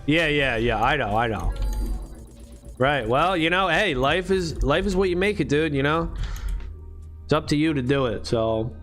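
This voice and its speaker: A man's voice: on the high side, lively intonation, a little slow, pauses often, fairly bright tone, soft background music. A man in his late thirties, chatting casually on a live stream in loose, profane slang full of "dude" and "bro". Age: late 30s. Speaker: livestream host.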